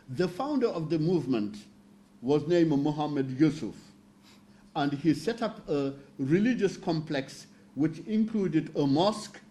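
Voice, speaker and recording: A man from northern Senegal speaking as a panelist at a roundtable, explaining slowly and carefully with fillers and restarts. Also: fairly high pitch, mellow. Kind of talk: roundtable talk.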